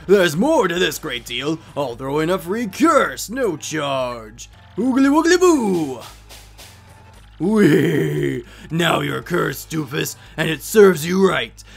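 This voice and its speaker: A man acts out a wicked video game curse spirit, loud and theatrical. It taunts with gloating glee, drawing out its insults and cackles. The voice sits fairly high for a male, with music underneath. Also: lively intonation, slow pace, gravelly, husky, heavily acted. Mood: mocking glee. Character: gleeful, taunting evil curse spirit trapped in a chest. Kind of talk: exaggerated video game villain voice-over.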